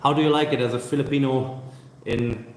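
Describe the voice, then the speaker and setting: Brief: thirties male travel vlogger, relaxed hesitant live Q&A on a phone, roomy echo, faint background ambience. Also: mid-range voice, a little fast, slight background noise. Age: 30s. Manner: relaxed, hesitant with many fillers and restarts. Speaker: travel vlogger answering viewer questions. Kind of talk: casual live stream Q&A. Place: busy hostel co-working space. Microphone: phone camera.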